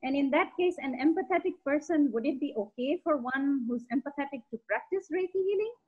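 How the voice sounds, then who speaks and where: Soft high woman over a tinny laptop line. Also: expressive, a little fast. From Tanzania. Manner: polite, hesitant questioner. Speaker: caller asking questions. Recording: faint tinny laptop audio.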